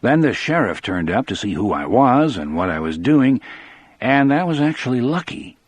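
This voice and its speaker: A man with a husky voice reading scripted first-person audiobook narration. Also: mid-range voice, sing-song, moderate pace.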